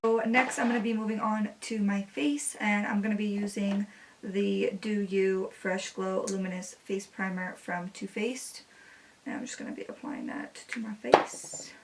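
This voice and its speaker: A relaxed young woman in her twenties, a native Spanish speaker, chats easily about her life while she does her makeup at home. She fills her sentences with "like", and her voice sits at an ordinary middle pitch. The camera mic sits a little away from her. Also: a little slow, far from the mic, slight background noise. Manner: chatty, relaxed, many "like" fillers. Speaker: beauty vlogger. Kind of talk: casual chat while doing makeup.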